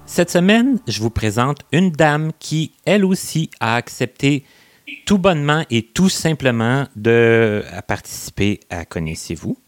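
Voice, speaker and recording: Man host, chatty, lots of euhs, mid pitch. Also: big pitch swings, a little slow, faint music behind. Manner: chatty, many fillers and restarts. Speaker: interview host. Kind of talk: casual remote interview.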